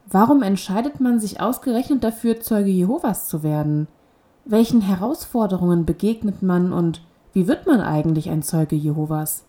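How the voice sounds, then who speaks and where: A woman reading a documentary introduction in smooth, measured narration. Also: mid-range voice, mellow.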